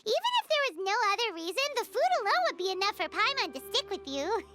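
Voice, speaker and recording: A woman's voice: extremely high pitch, sing-song, brisk, pushed and bright, exaggerated voice. A woman voicing a tiny, chatty, cheerful female companion in a game cutscene, who talks about herself in the third person. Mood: curious. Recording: game audio with music behind.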